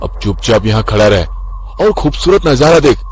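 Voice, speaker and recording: Man acting a brash, loud young guy, pitched up. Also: normal speed, a little hiss or hum, natural voice. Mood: dismissive. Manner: excitable, calling out emphatically. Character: brash carefree young male friend, loud and dismissive. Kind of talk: acted dialogue in a horror story.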